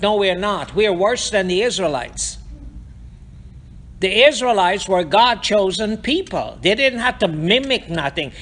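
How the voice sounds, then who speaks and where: A man from Guyana preaches with a high-pitched voice. He takes his time, dwelling on each phrase. He repeats rhetorical questions to drive his points home. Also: a bit bright. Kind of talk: sermon.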